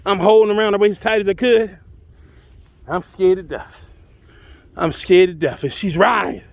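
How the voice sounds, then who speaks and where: A man's voice: high-pitched, steady tone, normal speed, gravelly, no room sound. A man telling a first-person tall tale in a colloquial, exclamatory, dramatic way. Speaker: storyteller.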